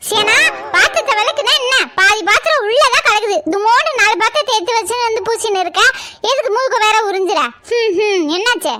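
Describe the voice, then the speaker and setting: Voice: extremely high pitch, brisk, bright, forceful tone, soft background music. A performer voicing a bossy, harried mother who nags and coaxes, in a comedy skit. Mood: bossy, nagging. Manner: nagging, coaxing, protesting. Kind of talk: family comedy skit acting.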